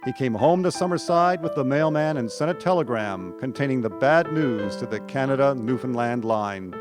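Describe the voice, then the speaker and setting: With music clearly behind him, a man narrates a radio drama. His pitch is average, and he reads in a scripted storytelling style. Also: lively intonation, moderate pace.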